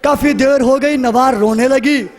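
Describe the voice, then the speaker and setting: A man delivering an emotional mourning sermon, telling a sacred story in an impassioned, lamenting and dramatic way. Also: extremely high pitch. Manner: impassioned, lamenting, acting out dialogue within narration. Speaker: religious orator leading a mourning gathering.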